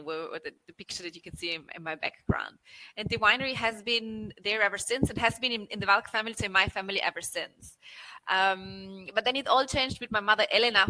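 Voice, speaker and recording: A woman's voice: medium pitch, sharp tone, poor audio. A woman from northern Italy whose first language is German, chatting in a casual video-call interview as a winery guest, often tagging "right?" and restarting phrases. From Alto Adige, northern Italy. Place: indoors with a mountain backdrop.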